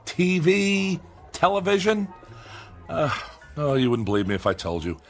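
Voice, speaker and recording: Man acting a pompous, animated eccentric tinkerer. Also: on the high side, unhurried, soft background music. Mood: brisk, evasive. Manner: formal, pedantic, theatrical. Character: eccentric, fussy, pedantic tech-minded man, pompous and evasive. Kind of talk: comedic radio drama acting.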